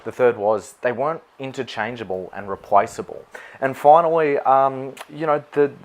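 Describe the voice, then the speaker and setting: A man's voice: medium pitch, normal speed. A man with an Australian accent giving an unscripted, informal product explainer in a conversational way. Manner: informal, conversational, mildly sweary. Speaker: wedding celebrant presenting his gear.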